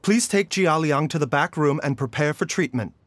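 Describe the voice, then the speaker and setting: An actor playing a gentle, composed male doctor in a video game, calm, courteous and reassuring. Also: a little high, moderate pace, slight background noise, close to an everyday voice.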